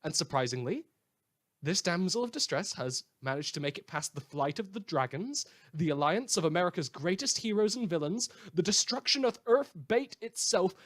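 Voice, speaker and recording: A man's voice: sits high, fairly bright tone, heavily acted. Man playing a theatrical, swooping sardonic radio newsman. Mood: theatrical excitement. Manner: theatrical, sarcastic, mock-cheerful, emphatic. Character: sardonic, theatrical male radio news host in dystopia. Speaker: radio news presenter. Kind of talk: satirical scripted radio news broadcast.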